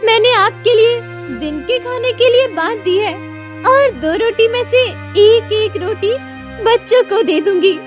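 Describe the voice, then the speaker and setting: A woman voicing a gentle, worried, plaintive wife and mother from a poor village in a cartoon story. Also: very high-pitched, expressive, measured pace, faint music behind. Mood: anxious, caring.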